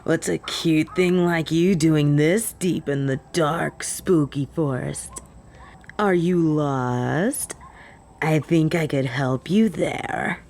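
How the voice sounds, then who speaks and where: A woman's voice: low voice, creaky, a little mellow, slight background noise, pitched below the performer's own voice, full character voice. A woman voicing a cocky, flirty female werewolf in a roleplay audio drama, possessive and teasing with a drawl. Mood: flirty, coaxing.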